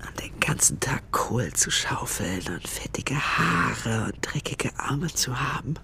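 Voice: mid-range voice, sing-song, a little slow, gravelly, pushed and bright, whispered, exaggerated voice. A performer playing a young, dreamy, dutiful son in a German radio drama. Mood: brooding, murmured.

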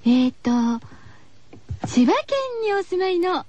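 A woman's voice: extremely high pitch, animated, slow, noisy background. A woman hosting a radio show, chatting casually to her listeners in a cutesy, girlish way. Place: radio studio. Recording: slightly dull, lo-fi radio recording.

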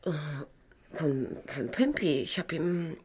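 A woman's voice: deep voice, very animated, takes it slowly, background noise, full character voice. An actress playing a sultry, capricious demimonde woman in a radio play, murmuring hesitantly and breathily, a little away from the microphone. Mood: evasive, murmuring. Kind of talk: intimate radio play acting.

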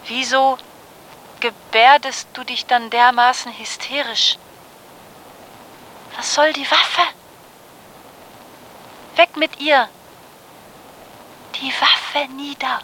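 A woman's voice: high-pitched, steady tone, extremely slow, pauses often, bright, forceful tone, slight background noise, full character voice, rough recording. An actress playing a grown child pleading reproachfully with a mother, declamatory and insistent, in a literary radio drama. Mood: urgent, reproachful.

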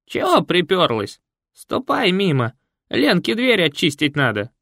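A man's voice: high-pitched, wildly swooping pitch, gravelly, dry recording, studio quality, pitched above the performer's own voice, exaggerated voice. A man doing a grumpy old village woman. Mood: scornful. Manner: slow, grumbling and sharp. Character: cantankerous elderly village woman, rude and scolding. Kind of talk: audiobook character voice.

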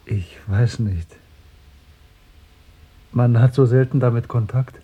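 A man's voice: medium pitch, takes it slowly, husky, phone-quality sound. An actor playing a guarded, hesitant man in a radio drama, with a reserved and tentative way of talking. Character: hesitant, guarded male visitor to a shop. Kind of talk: 1970s German radio drama acting.

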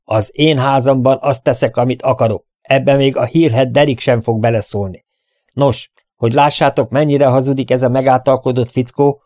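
A man voicing a domineering, angry western bandit boss with a gruff, bullying, deceitful manner. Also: medium pitch, a little mellow, dry recording, clean studio sound, lightly acted. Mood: blustering.

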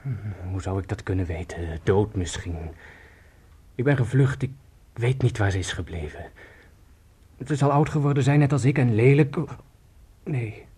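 A man's voice: medium pitch, animated, moderate pace, slightly rough. A man playing an aging, dreamy, half-mad guitarist and storyteller in a radio drama, with a poetic, murmuring delivery. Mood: hushed, evasive. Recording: loud guitar music behind.

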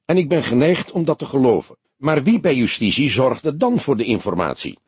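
Man acting a husky, wry old police detective. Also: medium pitch, animated, a little mellow, dry recording, pitched above the performer's own voice. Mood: solemn. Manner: measured, probing, dryly mocking. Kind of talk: audiobook character dialogue.

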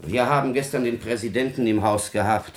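An actor playing a cold, calculating secretary, measured and insinuating, in a classical radio drama. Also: male voice, mid-range voice, steady tone, lightly acted. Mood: cold dictating. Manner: measured, insinuating, falsely kind. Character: cold, scheming male secretary, oily and calculating.

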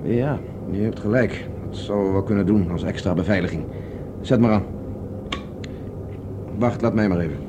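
An actor playing a self-assured, impatient space pilot in a Dutch radio drama, brisk and commanding as he explains things. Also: lowish voice, noisy background, natural voice. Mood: calm, reassuring.

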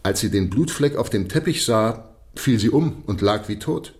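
A man's voice: fairly low pitch, animated, moderate pace, darkish tone. An actor playing a deferential, sheepish police constable in a radio drama, respectful and plain-spoken as he explains himself. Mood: sheepish, explaining.

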